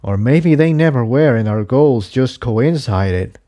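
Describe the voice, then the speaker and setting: A man's voice: medium pitch, animated, measured pace, warm tone, lightly acted. A man voicing an earnest, cautious male pony in a story reading, explaining himself hesitantly. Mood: troubled, explaining.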